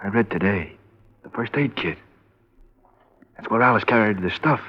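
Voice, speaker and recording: An actor playing a guilt-ridden small-time American crook in a radio crime drama, agitated and halting, repeating himself insistently. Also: male voice, on the low side, lightly acted. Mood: anguished, guilty. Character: guilt-ridden, nervous male small-time drug peddler turned informant. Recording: muffled old radio broadcast recording.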